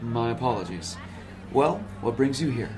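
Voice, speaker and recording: A man's voice: mid-range voice, expressive, moderate pace, music in the background, lightly acted. A man playing a nervous, evasive psychology professor who talks in a polished, wordy, lecturing way. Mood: charming. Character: smooth, fussy male college professor, nervous and evasive.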